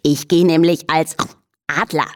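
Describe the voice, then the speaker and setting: A woman voicing a proud pig in a lowered, smug voice. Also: fairly even intonation, a little slow, distant mic.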